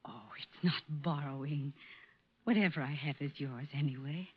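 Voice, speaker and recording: A woman's voice: sits low, moderate pace, husky. An actress playing an adoring, trusting newlywed woman, gushing and earnest, in 1940s radio style. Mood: adoring, excited. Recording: muffled old-time radio recording.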